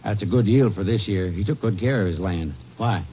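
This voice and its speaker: A man's voice: lowish voice, fairly quick, natural voice. An actor playing a blunt, practical rural feed buyer in a 1950s radio drama, clipped and exacting in his speech. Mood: sure, blunt. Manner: clipped, plain-spoken, exacting. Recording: muffled, noisy old radio recording.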